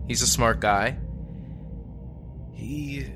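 A man performs a lonely survivor of a ruined world, speaking slowly over clear background music. He muses wistfully and haltingly, with a dry wryness, as if sending a message out in an audio drama. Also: mid-range voice. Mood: dryly wry. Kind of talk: audio fiction monologue.

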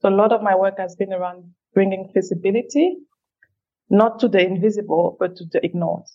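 A woman from Cameroon speaking as an interview guest at a middling pitch. She talks in a thoughtful, measured way, speeding up on side remarks. The audio sounds a little dull and lo-fi. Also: darkish tone. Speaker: guest, feminist leader. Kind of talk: reflective interview answers.

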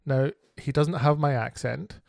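Guy hosting a solo podcast, earnest and open, kinda gravelly, dry close sound. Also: mid-range voice, a little slow, a little mellow, dry recording. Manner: earnest, reflective and candid. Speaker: podcast host.

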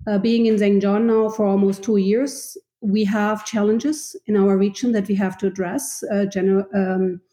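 A woman from Germany with a German accent, a university vice president answering interview questions in a thoughtful, measured way with frequent pauses. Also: mid-range voice, level tone, dark tone, reverberant. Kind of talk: podcast interview.

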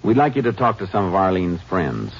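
An actor playing a calm, steady Texas Ranger in an old radio drama, speaking in a level, firm and probing way. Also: male voice, sits low, brisk, gravelly, heavily acted. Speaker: lead and narrator of the drama. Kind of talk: 1950s radio drama acting. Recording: muffled old radio broadcast with hiss.

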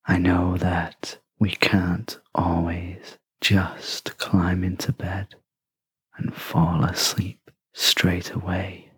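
Low-pitched breathy half-whisper, guided sleep meditation, extremely slow, gentle, soothing, long pauses. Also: level tone, dry recording. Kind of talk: guided sleep meditation, soft scripted narration.

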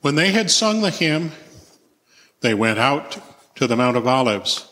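Male scripture reader for narration, bright and forceful, recorded in a reverberant church. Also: medium pitch, very animated, measured pace. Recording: echoey church hall, long reverb.

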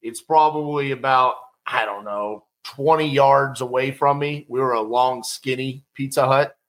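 A man's voice: medium pitch, a little slow, poor audio. A middle-aged man telling a personal story in a casual, conversational way and acting out the quotes. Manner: conversational, animated, acts out quotes.

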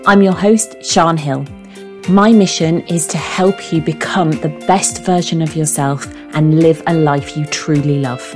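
Woman, podcast host, slightly croaky, lowish voice, earnest and encouraging. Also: measured pace, darkish tone, faint music behind. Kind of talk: motivational podcast talk.

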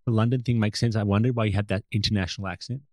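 A man hosting an interview, asking questions and adding thoughtful comments in a measured, articulate way. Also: on the low side, fairly even intonation, dry recording, studio quality. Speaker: interviewer, host.

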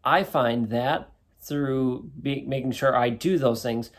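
A man in his thirties talks on his own to listeners of his wellness show. His voice sits at an ordinary middle pitch. He chats in a relaxed way and keeps checking in with a quick "right?". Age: 30s. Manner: conversational, keeps asking "right?". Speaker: podcast host and wellness coach. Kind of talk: casual solo talk to listeners.

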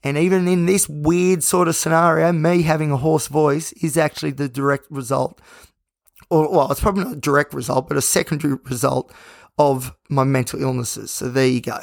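A hoarse man hosting his own podcast, talking in a rambling, candid and self-reflective way. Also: fairly high pitch, steady tone, a little fast, no echo, studio quality. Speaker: podcast host. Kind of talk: casual solo podcast monologue.

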